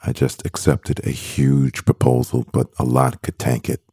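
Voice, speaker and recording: A man playing a stressed, devoted CEO boyfriend in an intimate ASMR roleplay, murmuring with a husky, gravelly voice and pauses. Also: very deep voice, completely flat delivery, warm tone, no echo, studio quality, full character voice. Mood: weary, tender.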